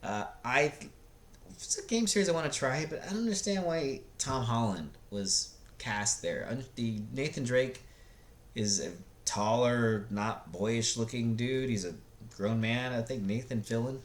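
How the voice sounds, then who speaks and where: A man's voice: medium pitch, lively intonation, a little slow. A man giving casual, unscripted opinions like a video blogger, blunt and rambling, often hesitating as he searches for words.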